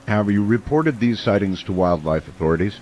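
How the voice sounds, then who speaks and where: An actor playing a grave, measured small-town American radio host in a scripted radio drama, warning his community. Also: fairly low pitch, lively intonation, darkish tone, lightly acted. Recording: dull lo-fi sound, faint noise.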